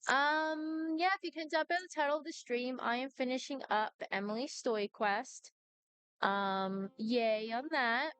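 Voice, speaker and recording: A woman's voice: sits high, measured pace, a bit bright, clean tone. A young adult woman chatting casually and informally as she livestreams a game, rambling from thought to thought. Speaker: game streamer. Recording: game music behind voice. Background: video game music.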